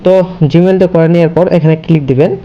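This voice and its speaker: A man's voice: fairly high pitch, fairly even intonation, very fast, lo-fi sound. A man hosting a casual step-by-step screen tutorial, linking his sentences repetitively with the same connective word. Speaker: tutorial host.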